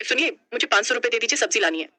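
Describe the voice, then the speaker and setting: A woman's voice: a little high, animated, rapid-fire, pushed and bright, a little hiss or hum, pitched above the performer's own voice. A woman voicing a young, emotional newlywed daughter-in-law in a family story. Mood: pleading, indignant. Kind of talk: animated storybook acting.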